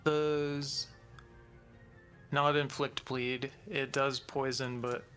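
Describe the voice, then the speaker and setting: A man's voice: medium pitch, flat delivery, very slow, a bit bright, faint music behind. A man talking casually as a player in a tabletop role-playing game.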